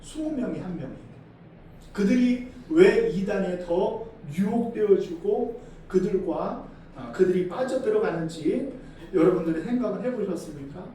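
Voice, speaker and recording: A middle-aged Korean man, a senior pastor, preaching a sermon, asking the congregation questions and swinging between loud emphasis and quiet asides. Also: high-pitched, lively intonation, measured pace. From South Korea. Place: church sanctuary. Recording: echoey hall from far off, faint noise.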